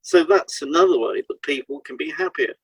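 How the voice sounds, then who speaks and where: A man's voice: on the high side, expressive, fairly quick, creaky, a bit bright, breathy edge, no echo, poor audio. A man reading a scripted informational piece as a podcast host, in an explanatory way.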